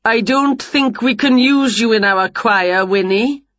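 A woman's voice: fairly high pitch, unhurried, fairly bright tone, dry recording, dull audio, voice pushed up. A woman voicing a fussy, weary, worried male choir conductor in a children's storybook, flustered and hesitant. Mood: weary, faint.

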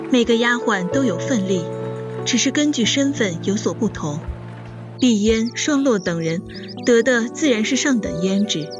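A woman's voice: medium pitch, muffled recording, close to an everyday voice. A woman playing the composed storyteller, reading scripted narration for a Chinese period audio drama. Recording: clear music underneath.

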